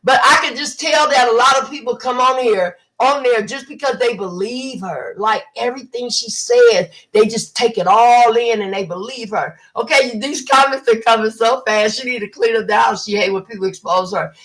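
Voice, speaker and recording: A woman's voice: on the high side, normal speed, few pauses, fairly bright tone, rough recording. A woman, hosting a casual livestream and talking bluntly with mocking impressions. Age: 50s. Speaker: livestream host.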